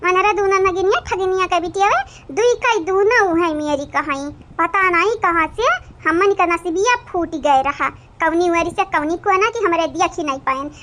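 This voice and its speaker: An actor with an Awadhi accent voicing a chattering, dramatic village woman in a comic cartoon, in a childlike squeaky voice. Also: normal speed, sharp tone. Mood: animated banter.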